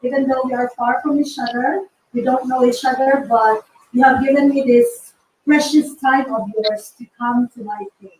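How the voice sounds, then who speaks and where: A woman hosting an online interview, upbeat and welcoming and quick to laugh. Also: high-pitched, fairly even intonation, a little mellow, a little hiss or hum. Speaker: host. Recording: echoey room, distant mic.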